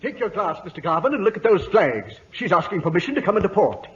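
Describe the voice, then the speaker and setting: An actor playing a wry, steady British harbour watch officer in old-time radio drama style. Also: male voice, high-pitched, fast. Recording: muffled old radio broadcast.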